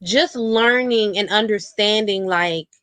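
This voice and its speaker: A woman guest talks candidly in a medium-pitched voice. Her delivery is colloquial and expressive, leaning hard on key words. The recording is dry, with no audible room. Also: slow pace, clean tone, dry recording. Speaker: guest author. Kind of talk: candid casual conversation.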